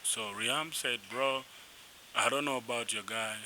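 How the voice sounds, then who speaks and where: A young man from South Sudan, a musician being interviewed, telling his story in a rambling, animated way with restarts. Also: medium pitch, measured pace. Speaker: musician guest. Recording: noisy background.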